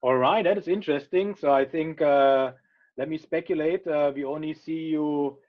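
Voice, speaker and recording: A man's voice: a little high, moderate pace. German man hosting a webinar. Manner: friendly, many uh and um fillers. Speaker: webinar host. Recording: dull lo-fi video call audio.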